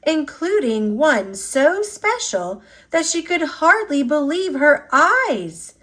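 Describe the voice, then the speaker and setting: A woman reading a children's picture book aloud as a storyteller, with an expressive, deliberate delivery. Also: high-pitched. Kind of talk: scripted narration, children's read-aloud.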